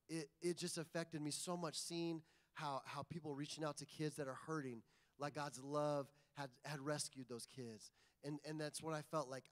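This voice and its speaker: A man's voice: on the high side, clear, resonant tone, big-room sound. A man in his forties from Indiana sharing an earnest personal testimony as a guest speaker, with lots of ums. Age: 40s. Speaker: guest ministry leader. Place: church sanctuary.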